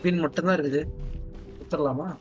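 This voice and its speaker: A man's voice: on the high side, rapid-fire, muffled recording. A man giving casual, informal and reactive live gaming commentary as a streamer. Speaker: game streamer. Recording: live stream with game music.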